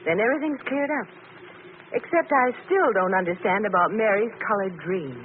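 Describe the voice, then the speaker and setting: A woman's voice: mid-range voice, lively intonation, normal speed, lightly acted. An actress playing an inquisitive lady companion to a sleuth in a 1940s radio drama, asking curious questions. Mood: curious, playful. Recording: tinny, noisy old radio recording.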